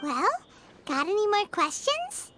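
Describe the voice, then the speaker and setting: A woman's voice: extremely high pitch, sing-song, unhurried, a little hiss or hum, exaggerated voice. A woman voicing a small, cheerful, bubbly fairy-like girl companion in an anime game, with music behind her. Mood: chirpy inquiring. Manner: speaks of self in third person, bubbly. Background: loud game music.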